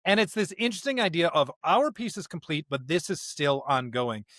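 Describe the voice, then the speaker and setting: A man's voice: fairly high pitch, very animated, a little fast, a bit bright, dry recording, clean studio sound. A man co-hosting a religious podcast in an earnest, emphatic conversational way, often checking for agreement. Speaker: podcast co-host.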